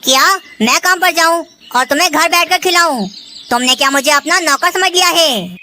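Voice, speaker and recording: A bullying, drunken husband sparrow from a children's cartoon, played by a voice actor. He is rude and domineering, with a bright, forceful edge, over noisy birdsong. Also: high voice, lively intonation, normal speed, exaggerated voice. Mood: demanding. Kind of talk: Hindi children's cartoon dialogue.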